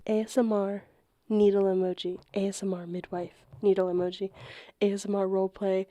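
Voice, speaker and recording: A woman with a mid-pitched voice talks as a podcast co-host. Her style is casual and conversational. She is emphatic, slangy and quick to swear. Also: level tone, dark tone. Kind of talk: casual chat.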